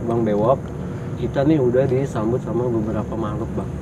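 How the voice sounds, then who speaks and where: A man's voice: medium pitch, steady tone, brisk, distant mic, background noise. A man with a cold, co-hosting a ghost-hunting vlog and telling viewers about an abandoned factory at night. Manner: chatty, explains eagerly to viewers. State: has a cold. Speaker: co-host of a ghost-hunting channel. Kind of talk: casual chat on location.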